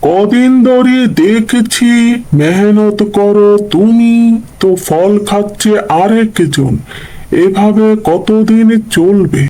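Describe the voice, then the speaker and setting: A man's voice: high voice, takes it slowly, mellow, rough recording. A man playing a shrewd, kindly village uncle in a cartoon dub, giving advice in a knowing, insinuating way. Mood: sly, advising.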